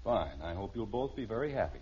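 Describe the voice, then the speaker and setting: A man's voice: fairly low pitch, sing-song, a little fast, darkish tone, some chatter behind. An actor playing an exasperated, dryly sarcastic middle-aged American father in a 1950s radio sitcom. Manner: dry sarcasm, clipped demanding questions. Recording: dull, noisy old radio recording.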